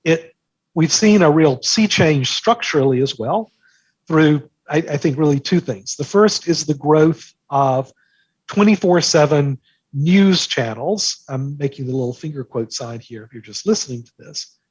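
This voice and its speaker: A man's voice: fairly high pitch, animated, measured pace, breathy edge, no echo, highs cut off. A man talking as an interview guest, explaining things conversationally and emphatically, repeating phrases for effect. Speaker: interview guest, markets newsletter author.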